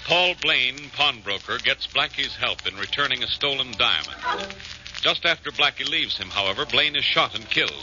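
A man's voice: medium pitch, lively intonation, normal speed. A man announcing and narrating a story recap in scripted 1940s radio style. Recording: muffled old radio recording, loud music. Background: music.

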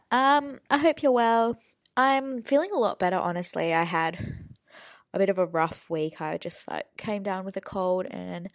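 A woman's voice: lowish voice, no echo, clean studio sound. Chatty young woman with a cold. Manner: chatty, rambling, many fillers. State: slightly nasal from a head cold. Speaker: podcast host. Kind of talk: casual chat.

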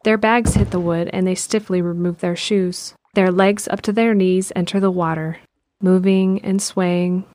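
A woman narrating an audio drama in a calm, measured way. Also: lowish voice.

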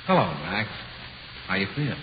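An actor playing the coolly goading ghost of an executed convict, with laconic, slangy tough talk, in 1940s radio horror. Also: male voice, sits low, sing-song, moderate pace. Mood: casual, ominous. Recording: muffled, hissy 1940s radio recording.

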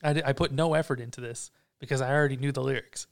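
A man's voice: medium pitch, steady tone, racing, creaky, smooth. A man co-hosting a casual podcast chat, joking and boastful, swearing freely. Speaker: podcast co-host. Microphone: headphones on, podcast mic.

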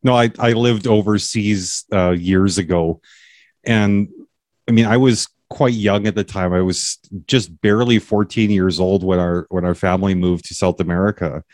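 A man's voice: low-pitched, fairly even intonation, normal speed, a little mellow, no room sound, clean studio sound. A man hosting an interview podcast, asking curious, rambling questions with frequent restarts. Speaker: podcast host. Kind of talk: casual interview chat.

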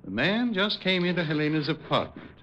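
An actor playing a persistent doctor who presses with short, measured questions in 1950s radio drama style. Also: male voice, on the high side, sing-song, fairly bright tone. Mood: probing. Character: probing, persistent male psychiatric doctor. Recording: dull old radio broadcast recording.

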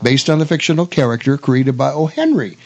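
Guy hosting a radio show, average pitch, chatty, stumbles and repeats, reads bits off notes. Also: expressive, fairly quick. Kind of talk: casual talk with read background notes.

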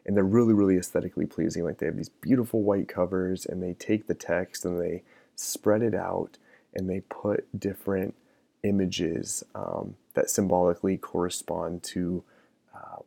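Deep gravelly man, musing podcast talk. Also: warm tone, no room sound. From American Midwest. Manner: thoughtful, musing, frequent fillers and restarts. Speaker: podcast host. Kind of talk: reflective casual monologue.

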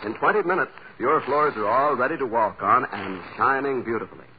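A man's voice: medium pitch, fairly quick. An American man reading a 1940s radio commercial in a polished, persuasive announcer's delivery. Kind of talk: 1940s radio advertisement read. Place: radio studio. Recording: dull, noisy vintage radio recording.

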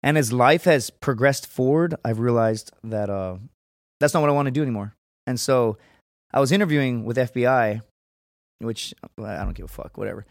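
A man's voice: mid-range voice, moderate pace, no room sound. Profane storytelling man, dry studio sound. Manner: profane, storytelling, acts out quotes in a raised voice. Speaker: podcast guest. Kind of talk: casual chat.

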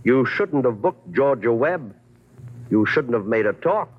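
An actor playing a menacing, mock-polite gangster boss with a wordy, aggrieved manner in a vintage radio crime drama. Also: male voice, medium pitch, animated, husky. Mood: coolly menacing. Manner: mock-polite, slow-building threats. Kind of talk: 1940s-50s radio crime acting. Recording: tinny old radio recording.

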